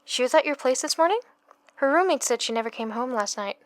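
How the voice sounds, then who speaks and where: Brisk, guarded young woman, higher-pitched, dry close sound through a phone receiver, audio drama. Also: expressive, no room sound. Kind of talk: audio book character acting.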